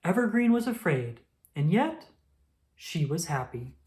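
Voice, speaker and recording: A woman reads a children's story aloud. Her delivery is very expressive, with wide swings of pitch and a slow, measured pace.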